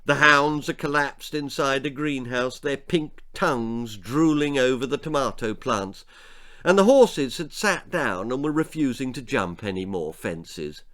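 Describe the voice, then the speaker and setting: Male English author-narrator for comic children's stories, bright, animated, dramatic. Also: mid-range voice, measured pace. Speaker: author reading his own story.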